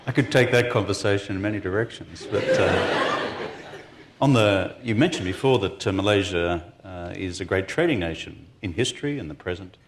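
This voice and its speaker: Deep-voiced wry male moderator. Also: moderate pace, noisy background. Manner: wry, dry humor. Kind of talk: moderating a panel.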